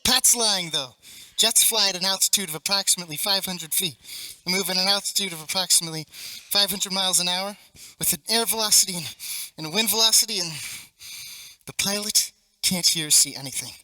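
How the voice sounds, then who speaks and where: A teenage male actor playing an intense, needling man in a stage play, swinging theatrically between loud and hushed delivery, with obsessive fervor. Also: high voice, fairly quick, no room sound, rough recording. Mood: taunting. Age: teens. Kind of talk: stage play monologue, projected theatrical acting. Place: high school theatre stage. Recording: live stage recording with faint noise.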